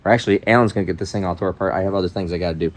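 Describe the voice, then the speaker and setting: A man's voice: low-pitched, fairly even intonation, racing. A man hosting an engine-building video, chatting casually to viewers and thinking aloud, often trailing off mid-sentence. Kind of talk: casual shop talk to viewers. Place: engine workshop.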